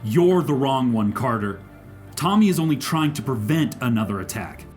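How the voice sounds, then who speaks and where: A man's voice: mid-range voice, expressive, moderate pace, a little mellow, faint music behind, natural voice. A man playing a cold, ruthless ranger hunter who speaks sternly in a fan audio drama.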